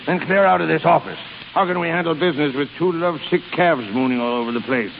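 An actor playing a crafty, gruff shipping boss of about sixty in 1930s radio drama style. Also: male voice, a little high, fast talker. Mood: blustering, impatient. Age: older. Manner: gruff, bossy, barking orders. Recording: muffled, noisy 1930s radio recording.

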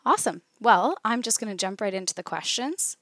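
A woman's voice: medium pitch, expressive, a little fast, fairly bright tone, no echo, clean studio sound. A woman hosting an interview, upbeat and polished, reading a scripted intro and asking questions. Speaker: interview host.

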